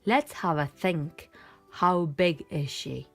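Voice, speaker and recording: A woman's voice: on the low side, slow pace, creaky, soft background music, full character voice. A woman voicing a helpful but muddled butterfly in a children's picture book, eagerly coaxing and confident as a guide.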